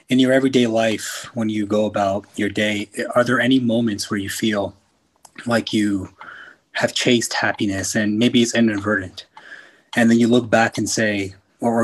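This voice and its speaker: Hesitant man asking a flat-voiced question. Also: fairly low pitch, normal speed, rough recording. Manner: hesitant, searching for words. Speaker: audience caller. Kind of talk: casual question.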